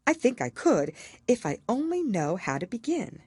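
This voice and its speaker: A woman's voice: fairly high pitch, very animated, normal speed, dark tone, slightly rough, dry recording, voice pushed up. A woman voicing a curious, polite little girl in a storybook, thoughtful and given to talking to herself. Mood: wistful.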